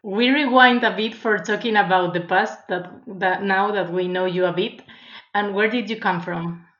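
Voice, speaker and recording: A woman's voice: mid-range voice, normal speed, fairly bright tone. A woman from Spain hosting an interview, laughing lightly along with her guest. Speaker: podcast host. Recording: echoey muffled room.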